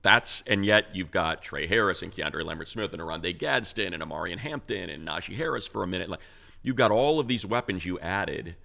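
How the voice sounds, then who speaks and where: A low-voiced American man talks football as a show host. His voice is gravelly and bright, with real edge to it. He makes his points emphatically, in a loose, rambling conversational style. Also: animated, fairly quick. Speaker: sports show host and analyst. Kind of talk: unscripted football talk.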